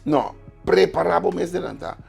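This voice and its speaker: Man, gravelly and husky, emphatic guest writer on a talk show, faint music under. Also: sits high, expressive, moderate pace, a little mellow. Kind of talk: studio talk show discussion.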